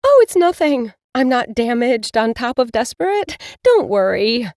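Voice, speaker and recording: A woman's voice: very animated, a little slow, a little mellow, no room sound, clean studio sound. Woman as a young witch, teary, hurt, raised voice. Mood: upset. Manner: high, emotional, sobbing.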